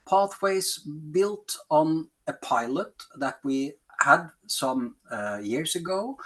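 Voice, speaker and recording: A middle-aged man with a Norwegian accent, speaking English as a second language in a podcast interview, pausing often to find words. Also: on the high side, a bit bright, rough recording. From Norway. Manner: searches for words, frequent pauses and fillers. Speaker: health policy advisor.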